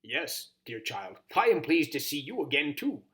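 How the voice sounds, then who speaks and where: A man's voice: a little high, sing-song, moderate pace, fairly bright tone. Man voicing a grumbly, courtly talking horned toad. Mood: courteous. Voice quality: grumbly, muffled, croaking. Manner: courtly, old-fashioned, ceremonious phrasing. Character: kindly, formal old horned toad mentor, male.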